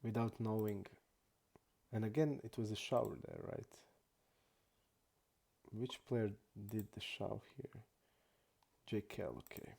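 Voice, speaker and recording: A young man from Croatia, not an English speaker, chatting casually and haltingly while streaming poker. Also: on the low side, gravelly, warm tone, husky. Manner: halting non-native English, many restarts and fillers.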